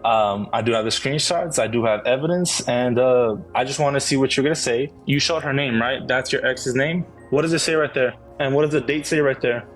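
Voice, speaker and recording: A man of middle pitch questions a guest in a firm, accusing manner. He talks in loose street slang and keeps pushing for answers. Faint music plays behind him. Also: a little fast, hardly any pauses. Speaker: interviewer. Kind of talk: confrontational interview.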